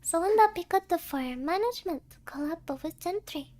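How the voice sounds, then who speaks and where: A woman's voice: very high voice, lively intonation, moderate pace, darkish tone. A woman streamer chatting casually and playfully in a cutesy manner, dropping Japanese words into her English. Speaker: streamer, VTuber.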